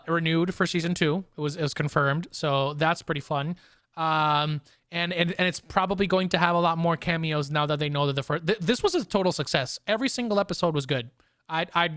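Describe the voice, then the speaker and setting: Higher-voiced man in excitable podcast chat. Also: clear, resonant tone, no echo, studio quality. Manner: excitable, slangy fan talk. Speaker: podcast host. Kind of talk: casual pop culture review chat.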